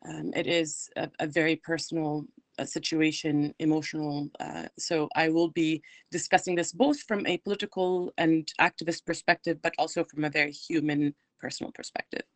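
A woman from Palestine, a guest on a radio talk show, speaking in a measured way with frequent fillers. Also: low-pitched, fairly even intonation, no echo. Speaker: guest, advocacy director. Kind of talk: radio interview.

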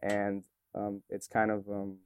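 Smooth-voiced Romanian-born man, reflective. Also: fairly low pitch, monotone, takes it slowly, darkish tone. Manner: reflective, rambling with many fillers. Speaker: interviewee, photographer and musician. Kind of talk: casual interview answers.

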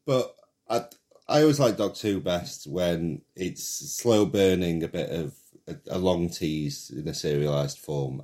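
A man with a raspy voice, co-hosting a film podcast and talking hesitantly, often restarting his sentences. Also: a bass voice, dark tone. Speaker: podcast co-host. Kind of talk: casual chat.

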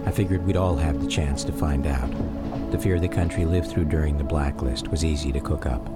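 A man narrating a personal radio drama in a reflective, measured storytelling style. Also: rumbling low, steady tone. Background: music.

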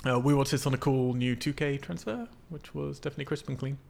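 A man's voice: medium pitch, fast talker, vocal fry, a little mellow, a little hiss or hum. A man hosting a casual film podcast, explaining things in an informal, sweary way. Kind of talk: casual film discussion from notes.

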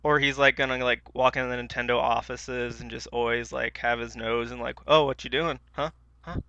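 Gravelly, forceful man chatting casually. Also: medium pitch, fairly even intonation, brisk, dry recording, highs cut off. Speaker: podcast co-host. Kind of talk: casual chat.

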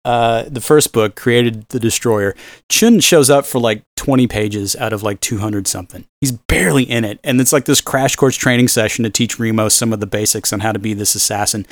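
A man's voice: medium pitch, normal speed, no room sound, clean studio sound. A man co-hosting a film podcast in a casual, rambling, exasperated way, swearing freely. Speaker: podcast host.

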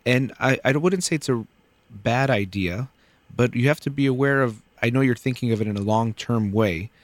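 A man hosting a call-in radio show, giving calm, measured advice with casual fillers. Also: medium pitch, no room sound. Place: radio studio.

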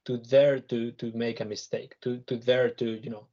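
A man with a husky voice giving his opinion as a panel guest, earnest and repeating words as he thinks. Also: mid-range voice, level tone, moderate pace, dark tone, muffled recording. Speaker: panel guest, tech director. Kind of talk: panel discussion, conversational opinion.

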